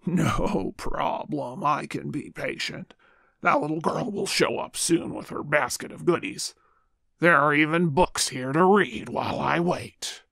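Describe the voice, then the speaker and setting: Woman voicing a slow gravelly big bad wolf. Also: low-pitched, a bit bright, dry recording, studio quality, voice pushed down, heavily acted. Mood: scheming. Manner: slow, scheming, falsely polite. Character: sly hungry big bad wolf, male. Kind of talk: children's puppet show.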